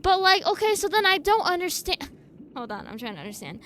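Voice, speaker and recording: A woman's voice: very high-pitched, big pitch swings, fairly quick, fairly bright tone, a little hiss or hum. A woman streaming a game, giving casual, informal reaction commentary as she thinks aloud in a skeptical way.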